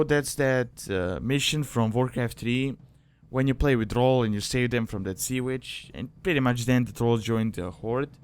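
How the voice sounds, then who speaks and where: A man's voice: medium pitch, smooth. A man giving a casual explanatory voice-over as a guide narrator, in an informal, rambling way.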